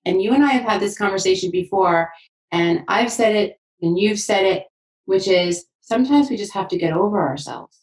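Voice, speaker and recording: A woman's voice: medium pitch, a little mellow. Woman host, upbeat and affirming, kinda echoey room sound. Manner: enthusiastic, affirming, fast bursts. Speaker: podcast host. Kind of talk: conversational interview. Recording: roomy sound from a distant mic.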